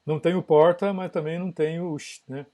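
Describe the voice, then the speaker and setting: A man's voice: a little high, steady tone, a little fast, mellow. A man from São Paulo state, a guest audio engineer, chatting casually on a live podcast and explaining at length with frequent tag questions. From São Paulo state, Brazil.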